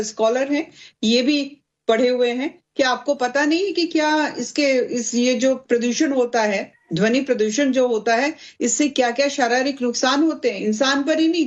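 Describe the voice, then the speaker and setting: High-pitched emphatic woman panellist, dull sound. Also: normal speed. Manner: emphatic, repeats phrases for stress. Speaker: political panellist defending the government. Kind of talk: TV debate argument.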